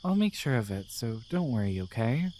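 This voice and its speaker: A man voicing a devoted, gentle guardian angel in an intimate romantic ASMR roleplay, speaking softly with tender, hesitant care. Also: medium pitch, sing-song, normal speed, a little hiss or hum, lightly acted. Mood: quietly defiant. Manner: soft, tender, hesitant, soothing. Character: devoted, gentle male guardian angel in love with their human.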